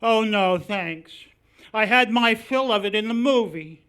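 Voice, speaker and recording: Sad sack meek postman in a radio comedy, really slow talker. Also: high-pitched, fairly bright tone, clean tone. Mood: mournful deadpan. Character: meek, mournful, henpecked postman. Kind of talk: old-time radio comedy acting, performed live.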